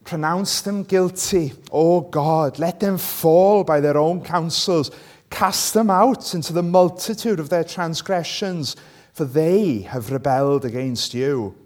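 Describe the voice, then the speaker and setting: A man with a Welsh accent, a native Welsh speaker, delivers a sermon at an unhurried pace. His voice sits a little high, and he talks to his listeners conversationally, often inviting agreement. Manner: conversational, asks listeners rhetorical tag questions. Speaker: preacher. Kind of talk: sermon with scripture reading.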